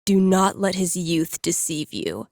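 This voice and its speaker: An actress playing a young female game master in a video game, narrating dark fantasy in a suspenseful, theatrical way. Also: on the low side, very animated, unhurried, no room sound, studio quality. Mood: ominous. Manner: suspenseful, portentous.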